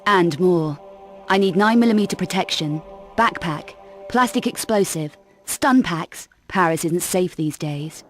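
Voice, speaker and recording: A woman's voice: on the low side, lively intonation, a little slow, soft background music. A woman playing a brash, cool adventurer heroine in a video game, with a curt, sardonic and defiant manner. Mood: businesslike, guarded. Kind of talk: video game cut-scene acting.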